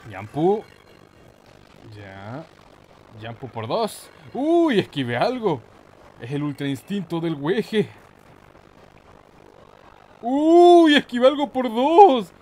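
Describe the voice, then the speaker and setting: A man's voice: sits high, wildly swooping pitch, slow pace, long pauses, mellow, low-quality recording. A man giving casual, slangy, mock-boastful gaming commentary with some swearing. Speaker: gameplay host.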